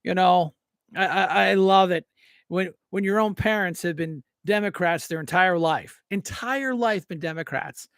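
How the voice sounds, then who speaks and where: A man's voice: high voice, unhurried, a bit bright, smooth, no room sound, clean studio sound. An American man giving an informal, off-the-cuff political rant as a host, blunt, combative and folksy, repeating phrases for emphasis. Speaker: talk show host and local political candidate.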